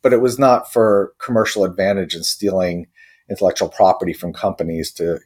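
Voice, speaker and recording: A man from Croatia, a tech company founder, answering interview questions thoughtfully with many pauses and restarts. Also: low voice, steady tone, darkish tone. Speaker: company co-founder and technology chief.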